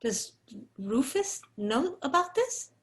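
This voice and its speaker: A woman's voice: high voice, big pitch swings, takes it slowly, fairly bright tone, full character voice. An actress playing a shocked, accusing woman confronting a colleague in a dramatic script read. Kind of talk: table read of a screenplay, dramatic dialogue.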